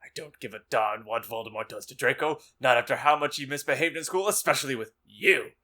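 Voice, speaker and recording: A man's voice: medium pitch, a little fast, bright, forceful tone, pitched above the performer's own voice. A man voicing a mean old wizard headmaster, curt and contemptuous, in a comic audiobook reading. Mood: scornful. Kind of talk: comic dramatic reading of fan fiction.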